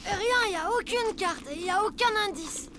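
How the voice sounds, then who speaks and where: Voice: very high voice, normal speed, heavy background noise. Une voix qui joue un jeune élève ninja sceptique de dessin animé français, aux remarques pince-sans-rire. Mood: wry. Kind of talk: French cartoon dubbing. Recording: loud music under the voice.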